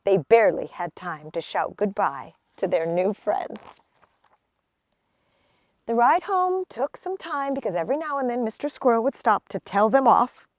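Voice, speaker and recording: A woman reading a children's picture book aloud as a storyteller, with expressive, deliberate phrasing. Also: fairly high pitch, no echo.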